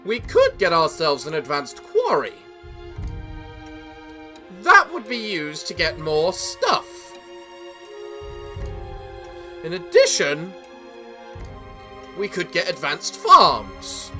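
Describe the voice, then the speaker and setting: A young English man giving casual let's-play commentary in a theatrical gentleman persona, a little sick, with a voice that sounds off. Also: sits high. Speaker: gaming YouTuber.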